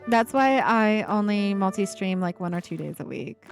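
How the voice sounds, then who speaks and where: Woman streamer, mid-pitched, casual and chatty, breathy giggles, home desk mic. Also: lively intonation, moderate pace, faint music behind. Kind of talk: casual live-stream chat.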